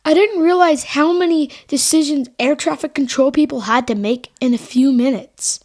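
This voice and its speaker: A woman with a high voice co-hosts an educational podcast. Her delivery is scripted and aimed at young listeners. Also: normal speed, darkish tone. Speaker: podcast co-host.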